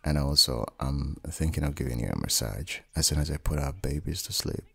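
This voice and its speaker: A man playing an affectionate, playfully teasing young dad and husband in an intimate ASMR audio roleplay, speaking softly and often dropping into whispers. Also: full character voice. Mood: tender. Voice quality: very deep, husky, gravelly, breathy. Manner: soft, unhurried, often slipping into whispers.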